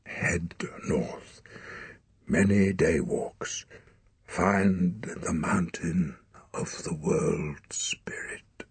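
A man voicing a dying hunter father whose breath is failing, so every word comes as a hoarse, breathy whisper. The voice is deep and husky and unhurried, pressing each plea out slowly. It sounds close and dry, with no room around it. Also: full character voice. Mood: urgent whisper. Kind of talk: audiobook character reading.

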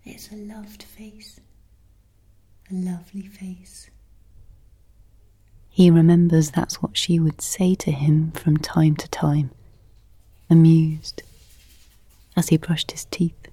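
Female narrator, intimate literary audio piece. Mellow, slightly low voice, hushed and measured. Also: fairly even intonation, breathy edge, no echo. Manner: slow, hushed, measured delivery, often near whispering. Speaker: narrator and performer of an audio playlet.

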